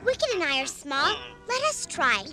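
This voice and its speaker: A woman's voice: extremely high pitch, sing-song, normal speed, music in the background. A female performer playing a sweet, brave little girl in a storybook. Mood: sweet, earnest.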